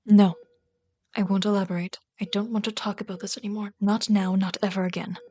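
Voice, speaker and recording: A woman playing a bitter, lovelorn villain in an intimate ASMR roleplay, deliberate and intense, often slipping into breathy whispers. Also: medium pitch, flat delivery, warm tone, lightly acted. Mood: guarded, exasperated. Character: bitter, lovelorn villain pleading with a hero's wounded sidekick.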